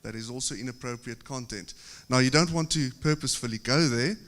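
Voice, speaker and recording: A man from South Africa with a South African accent, giving a technical conference presentation at a podium with steady explanations and occasional filler pauses. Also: mid-range voice. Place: large conference hall. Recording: reverberant conference hall.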